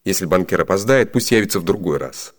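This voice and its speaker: An actor playing an ardent, lordly gentleman and master in a classic comedy radio play, with polished, declamatory delivery. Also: sits low, expressive, fast talker. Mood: ardent, reflective. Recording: reverberant 1980s Soviet radio studio.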